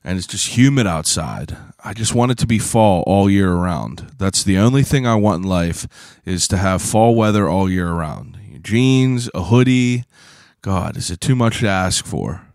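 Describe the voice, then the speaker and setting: A man in his thirties from Pittsburgh, hosting a podcast in a casual, rambling solo talk, hoarse from shouting, swearing freely and filling gaps with "uh". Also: sits low, animated, a little slow, no echo, studio quality. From Pittsburgh, USA. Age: 30s. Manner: rambling, profane, self-deprecating; frequent "uh" fillers and throat clearing. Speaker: podcast host.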